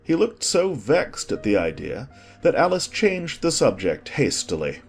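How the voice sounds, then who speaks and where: A man's voice: medium pitch, huge pitch swings, measured pace, creaky, slightly rough, soft background music. A man narrating a storybook as a scripted audiobook.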